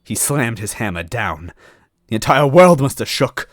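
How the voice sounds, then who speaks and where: A man's voice: medium pitch, sing-song, unhurried, no room sound, studio quality. A man reading a story aloud as a dramatic first-person narrator. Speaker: story reader.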